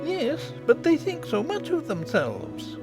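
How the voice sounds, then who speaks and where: A man's voice: very high voice, huge pitch swings, slow pace, gravelly, over music, pitched above the performer's own voice, exaggerated voice. A man voicing a homely, worried mother, a miner's wife in a storybook. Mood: worried, disapproving.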